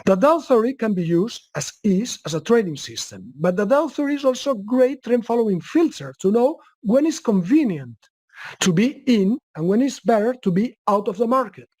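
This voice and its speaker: A man's voice: high-pitched, big pitch swings, moderate pace, a little mellow, no echo. A man with a Spanish accent presenting in a webinar and answering questions, often restarting his sentences. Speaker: guest presenter.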